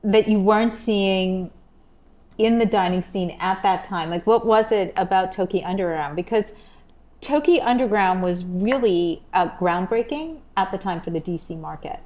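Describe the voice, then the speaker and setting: A woman's voice: mid-range voice, normal speed, darkish tone. A woman hosting an interview podcast, asking questions and prompting her guest with frequent short affirmations. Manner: engaged, prompting, frequent backchannel. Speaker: podcast host. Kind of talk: conversational interview.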